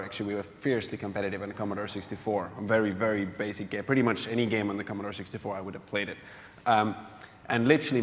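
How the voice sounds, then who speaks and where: A man from Finland, a game entrepreneur, answering interview questions onstage in a quick, earnest way with many restarts. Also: lowish voice. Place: conference hall stage. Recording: long hall reverb.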